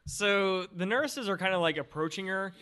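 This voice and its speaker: A man's voice: high voice, moderate pace, bright, forceful tone, clear, resonant tone. A man co-hosting a film podcast, recapping the plot in a wry, skeptical way. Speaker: podcast co-host. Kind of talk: casual film discussion.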